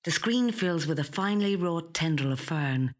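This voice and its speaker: An actor with a slightly husky, breathy voice reading a literary short story in a measured, hushed way. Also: mid-range voice, expressive. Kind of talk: scripted literary narration.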